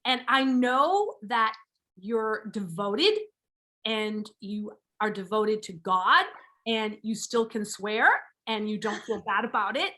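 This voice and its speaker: A woman's voice: medium pitch, lively intonation, slow, pushed and bright, rough recording. A woman in her forties hosting a podcast interview, gushing and enthusiastic, repeating words for emphasis. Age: 40s. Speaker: podcast host. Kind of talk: upbeat interview chat.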